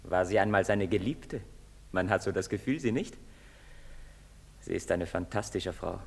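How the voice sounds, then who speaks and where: An actor playing a restless, defiant young outcast in a sardonic, intense theatrical manner. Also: male voice, sits low, moderate pace, creaky. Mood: mocking. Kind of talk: theatrical stage-play acting. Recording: long reverberant hall sound.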